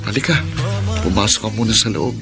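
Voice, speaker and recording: A man's voice: lowish voice, animated, a little fast, over loud music. A man playing a concerned male friend in a Filipino radio drama, asking questions with a sympathetic Filipino delivery. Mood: surprised, concerned. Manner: inquisitive, sympathetic.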